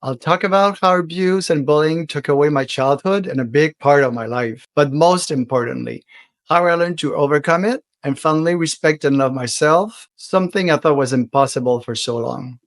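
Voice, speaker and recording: A French Canadian man, a native French speaker, hosting his own podcast in an earnest, heartfelt monologue that slows down for emphasis. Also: on the high side, dry recording. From Quebec, Canada. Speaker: podcast host.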